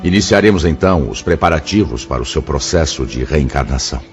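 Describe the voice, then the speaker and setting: Deep-voiced, fatherly spirit mentor. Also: animated. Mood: grave, counselling. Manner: measured, solemn, formal. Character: wise, fatherly male spirit mentor, grave and benevolent. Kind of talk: radio drama acting. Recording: slightly muffled radio drama with music.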